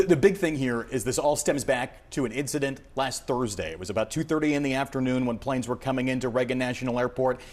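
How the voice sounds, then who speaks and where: An American man working as an aviation correspondent, explaining developments in a live news report with emphatic, punchy phrasing. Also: medium pitch.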